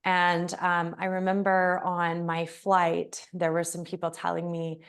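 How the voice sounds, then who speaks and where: A woman hosting an interview, sharing a personal story in a gentle, halting way with frequent pauses. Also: fairly low pitch, monotone, smooth. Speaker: podcast host.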